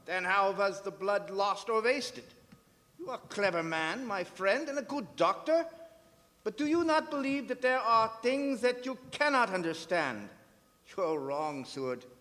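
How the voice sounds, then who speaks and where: Man playing grave, high-pitched vampire-hunting professor. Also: expressive, unhurried, sharp tone, exaggerated voice. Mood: portentous, insistent. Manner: emphatic, portentous, questioning. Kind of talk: theatrical radio drama acting. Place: performing arts center stage. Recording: echoey theatre hall with music.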